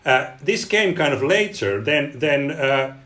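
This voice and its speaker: Halting Finnish-native man, echoey room. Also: fairly high pitch, sing-song, fairly bright tone. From Finland. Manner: halting, many repeated words. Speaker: guest researcher. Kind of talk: podcast interview.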